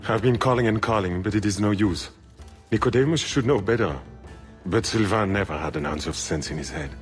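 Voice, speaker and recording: Man acting a fretful low-voiced hunter. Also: a little fast, soft background music, natural voice. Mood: worried, pleading. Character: fretful hunter pleading for lost hounds. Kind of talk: scripted fantasy game dialogue.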